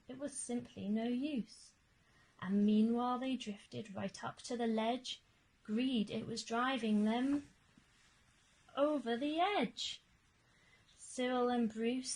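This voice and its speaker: A woman teacher reading a rhyming picture book aloud to children with measured, expressive, dramatic emphasis. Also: fairly high pitch, rough recording. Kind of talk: expressive children's storytime narration of rhyming verse.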